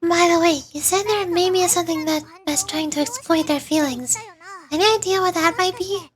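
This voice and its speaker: Woman doing a very high, excitable fairy sidekick. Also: moderate pace, faint music behind. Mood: curious, concerned. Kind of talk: dramatic read-aloud of game dialogue.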